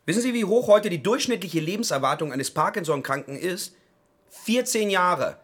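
A notably high-voiced man in a radio play. He is impatient and dismissive, scolding and complaining as if nobody else mattered. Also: expressive, moderate pace, fairly bright tone. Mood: scornful. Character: impatient, dismissive man in an emergency waiting room. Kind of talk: German radio play acting.

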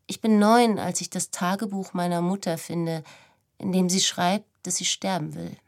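A woman acting as an adult daughter soberly recalling a troubled childhood in a measured radio play monologue. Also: lowish voice, fairly even intonation, a little mellow, natural voice. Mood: sober, reflective. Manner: measured, sober first-person recollection.

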